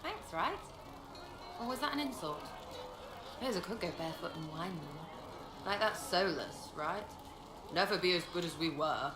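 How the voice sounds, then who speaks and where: A woman's voice: lowish voice, expressive, close to an everyday voice. A woman playing a brash, mocking elf archer. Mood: sardonic. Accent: Fereldan (British-style). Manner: blunt, mocking, quick retorts. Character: brash young female elf archer, sardonic. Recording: roomy game audio with music.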